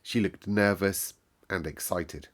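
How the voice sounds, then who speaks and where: A man reading a classic novel aloud in calm, measured narration. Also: sits low. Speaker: storyteller.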